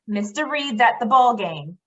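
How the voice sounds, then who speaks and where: Woman playing a high-voiced, wry office secretary, muffled. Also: animated, measured pace, a bit bright, a little hiss or hum. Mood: matter-of-fact. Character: wry, efficient female newspaper office secretary. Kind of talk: old-time radio drama acting.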